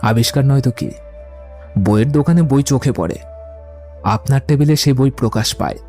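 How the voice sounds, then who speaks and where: A man's voice: medium pitch, normal speed, dark tone, soft background music, close to an everyday voice. A man playing a witty, poetic young romantic in an audio drama, eloquent and playfully theatrical. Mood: fervent, poetic. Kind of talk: dramatised audiobook dialogue acting.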